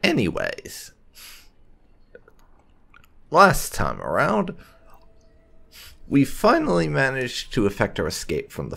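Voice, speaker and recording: A man's voice: fairly low pitch, creaky. A man giving casual let's play commentary, rambling and musing to himself as he goes. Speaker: gaming video host.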